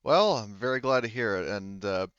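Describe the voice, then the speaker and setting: Man voicing gracious, low-pitched female news interviewer. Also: expressive, normal speed, a bit bright, clear, resonant tone, dry recording, highs cut off.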